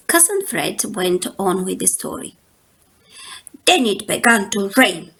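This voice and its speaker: A woman reading a children's story aloud as narrator, in an expressive, unhurried storytelling manner. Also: on the high side, poor audio. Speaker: storybook reader.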